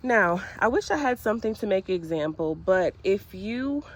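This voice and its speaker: A woman's voice: mid-range voice, expressive, moderate pace. A woman with a stuffy cold voice giving casual how-to tips in a gardening vlog, chatty and friendly with little laughs and fillers. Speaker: gardening vlogger.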